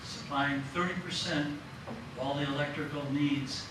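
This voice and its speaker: A man giving an informal panel talk in a loud, plain, matter-of-fact voice. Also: fairly high pitch, steady tone, normal speed. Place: lecture room. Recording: echoey room from a distant mic, noisy.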